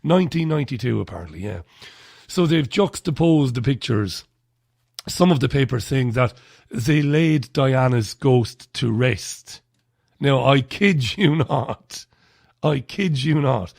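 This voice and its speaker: Throaty, gravelly-voiced man hosting live radio. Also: mid-range voice, animated, unhurried, a little mellow, no room sound, clean studio sound. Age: 40s. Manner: blunt, chatty and sardonic. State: slightly throaty. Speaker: radio show host. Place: radio studio.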